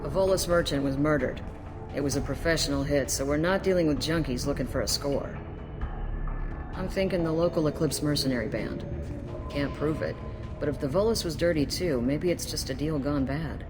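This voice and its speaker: Female alien detective for a game actress, weary, dutiful, dry and plain-spoken, over clear background music. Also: low voice, normal speed, lightly acted. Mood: briskly informative. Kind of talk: video game cutscene acting. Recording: music playing underneath.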